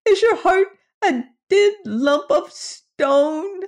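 A woman voicing a small, pitiful male alien that looks like a squirrel, with a squeaky, whimpering voice and an overly formal, pleading way of speaking. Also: lively intonation, extremely slow, darkish tone, exaggerated voice. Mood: anguished. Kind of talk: audiobook character voice.

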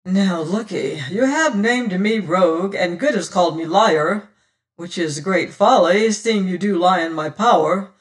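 A silver-tongued, scornful pirate rogue from an adventure audiobook, acted out by a male reader. He lectures and taunts in old seafaring speech, slowly and in a high register, slightly off the microphone. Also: distant mic. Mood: scornful lecturing. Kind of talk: dramatised audiobook reading of an adventure tale.

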